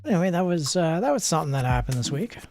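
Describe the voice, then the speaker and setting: A man streaming a card game, chatting casually in a soft, rambling, self-deprecating way with asides to the game. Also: on the high side, very animated, fast, faint music behind. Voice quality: soft, not gravelly at the moment. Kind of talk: casual live-stream chat while playing.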